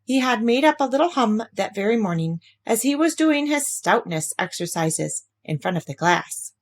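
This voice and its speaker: A woman reading a children's story aloud as an expressive narrator. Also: a little high, moderate pace.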